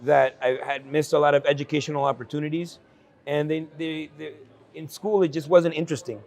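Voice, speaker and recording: Gravelly-voiced man telling his story. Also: on the high side, level tone, a little mellow. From El Salvador. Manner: earnest, frequent fillers and restarts, quick laughs. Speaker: guest entrepreneur. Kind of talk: candid conversational storytelling. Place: investment conference.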